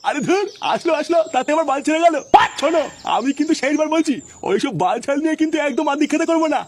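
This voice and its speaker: Squeaky, fast-talking cartoon grandpa. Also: male voice, a little hiss or hum. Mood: grumpy, scornful. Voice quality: high-pitched, cartoonish. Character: grumpy, fussy old village grandfather, sharp-tongued. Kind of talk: comic cartoon dialogue.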